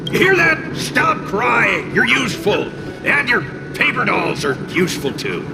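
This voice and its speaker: A man playing a cocky, hot-tempered, gluttonous fire spirit in a video game, gruff and blustering. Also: extremely high pitch, big pitch swings, measured pace, exaggerated voice. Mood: exasperated. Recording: music behind the voice.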